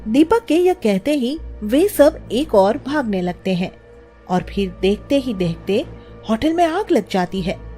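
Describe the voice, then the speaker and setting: A woman's voice: fairly high pitch, sing-song, measured pace, mellow, faint music behind. A woman narrating a scripted children's story as its storyteller.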